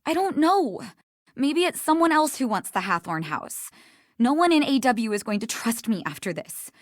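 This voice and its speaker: An anxious woman for a video game. Her high voice swings widely as she despairs. The sound is dry and close. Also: sing-song, normal speed, dry recording. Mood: despairing. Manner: distressed, emotional.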